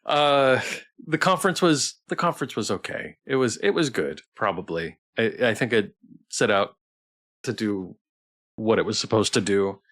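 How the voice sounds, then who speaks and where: A man's voice: lowish voice, animated, moderate pace, fairly bright tone. Tired man chatting casually on a livestream. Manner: hesitant, rambling, repeats and restarts phrases. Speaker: livestream host playing a visual novel. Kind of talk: casual chat with stream viewers.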